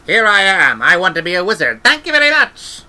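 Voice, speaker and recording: A man voicing a stern, snappish old witch granny with a brisk, scolding manner in a storybook reading. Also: sits high, huge pitch swings, bright, forceful tone, pitched above the performer's own voice, exaggerated voice. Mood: calm, firm.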